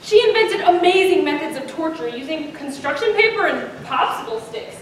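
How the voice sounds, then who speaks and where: Teenage female narrator for comic readers theater, high voice, projected and theatrical, recorded in a very echoey room. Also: expressive, moderate pace. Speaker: student performer, narrator. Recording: echoey room, distant mic, faint noise.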